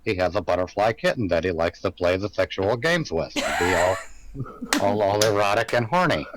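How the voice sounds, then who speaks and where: A man's voice: low-pitched, animated, a little fast, very noisy. A man joking around as a panelist in casual banter.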